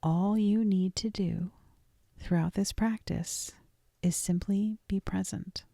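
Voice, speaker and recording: A woman leading a guided meditation in a soft, gentle, deliberate voice that often trails toward a whisper, with frequent pauses. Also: mid-range voice, fairly even intonation, creaky, no echo, clean studio sound. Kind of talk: guided meditation, scripted and soothing.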